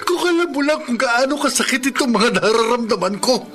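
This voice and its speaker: An actor playing a gravely ill, despairing husband in a radio drama, anguished and pleading in a melodramatic way. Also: male voice, very high-pitched, animated, brisk, over music.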